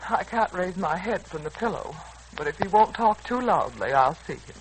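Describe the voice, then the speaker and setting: A martyred middle-aged mother in a 1940s radio drama. Her delivery swings widely in pitch, bitter, aggrieved and self-pitying, on a faintly noisy old recording. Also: very animated, normal speed. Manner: melodramatic, aggrieved. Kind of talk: 1940s radio serial acting.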